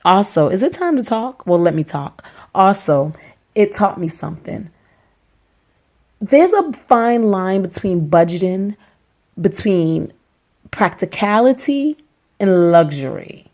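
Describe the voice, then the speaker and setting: A woman with a hoarse voice from a cold, chatting upbeat to her viewers in a casual budget vlog from a small rented apartment. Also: mid-range voice, very animated, takes it slowly, pauses often, darkish tone. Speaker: vlogger.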